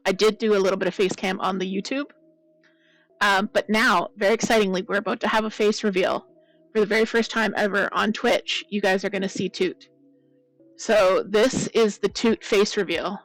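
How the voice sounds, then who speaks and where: A woman chatting casually with viewers as the host of a crafting stream, her voice a bit croaky. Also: mid-range voice, no room sound, rough recording. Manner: chatty, rambling, greets viewers by name. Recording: loud blown-out mic.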